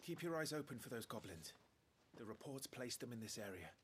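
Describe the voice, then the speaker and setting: Solemn fantasy game lord. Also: male voice, medium pitch, big pitch swings, slight background noise. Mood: watchful. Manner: solemn, formal, measured. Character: earnest young noble lord leading knights to battle. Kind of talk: dramatic fantasy video game acting. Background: loud game score.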